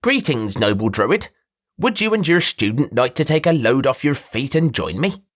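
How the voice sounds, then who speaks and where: A man's voice: mid-range voice, big pitch swings, normal speed, voice pushed up. A man voicing a cheerful middle-aged male merchant, nasal, theatrical and good-natured. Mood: jovial.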